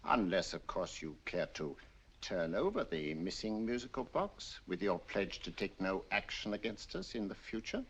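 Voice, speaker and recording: A man playing a menacing henchman in an old radio drama, slow and threatening. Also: low voice, sing-song, a bit bright, breathy edge, full character voice. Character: menacing male henchman, gruff and low. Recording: dull old radio audio, heard from off-mic.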